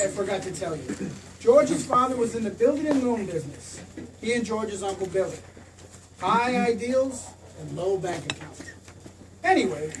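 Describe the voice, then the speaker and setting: A patient, heavenly storyteller played by an actor narrates an old-time radio play. The voice comes from some distance, with clear noise over the recording. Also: medium pitch, close to an everyday voice. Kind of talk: scripted radio drama narration. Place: theater stage.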